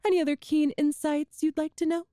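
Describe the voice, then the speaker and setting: A woman playing a cruel, seductive evil empress in an ASMR roleplay drama, speaking with imperious, deliberate menace. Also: high voice, flat delivery, warm tone, no room sound, studio quality, natural voice. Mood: teasing, seductive threat.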